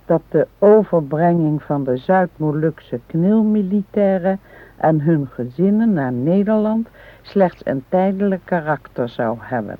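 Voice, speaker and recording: An actress playing a fretful, nagging middle-aged wife in a naturalistic radio drama, earnest and reproachful, with a husky voice. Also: female voice, fairly low pitch, lively intonation, a little slow. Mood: earnest, reading aloud. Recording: tinny, phone-like radio recording.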